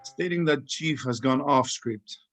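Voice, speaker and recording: Casting: male actor as dutiful army sergeant, mid-pitched, clipped and deferential, slightly hesitant, reporting to a superior. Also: unhurried, a bit bright, close to an everyday voice. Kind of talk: screenplay table read.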